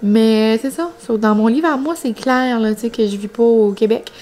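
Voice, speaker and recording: A woman's voice: on the high side, normal speed, warm tone, faint music behind. A young adult woman from New Brunswick with a northern Acadian French accent, chatting casually to her viewers in a rambling, colloquial way. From New Brunswick, Canada. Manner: rambling, colloquial regional speech with filler words. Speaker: vlogger.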